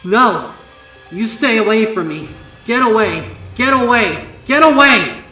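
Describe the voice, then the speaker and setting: A man voicing a female winged pony, awestruck and terrified, speaking in halting, breathless bursts. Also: high voice, animated, sharp tone, voice pushed up, heavily acted. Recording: echoey, distant, music behind.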